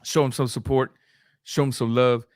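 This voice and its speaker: A man's voice: mid-range voice, steady tone, normal speed, dry recording, clean studio sound. A middle-aged man whose first language is Spanish, hosting a live boxing stream in loose, conversational talk with restarts and filler sounds. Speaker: boxing channel host. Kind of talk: live-stream commentary, reading super chats.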